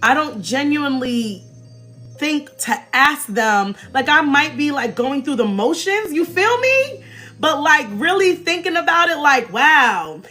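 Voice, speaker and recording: An American woman in her forties hosting a casual, chatty livestream with animated, emphatic delivery and informal slang. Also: high-pitched, measured pace. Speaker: livestream host. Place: small indoor room, backdrop behind. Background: faint music.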